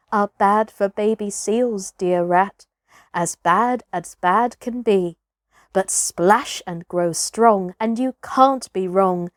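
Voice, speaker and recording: Slow, expressive woman storyteller. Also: mid-range voice, no echo, studio quality. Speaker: storybook reader, actress. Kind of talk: expressive scripted children's story narration.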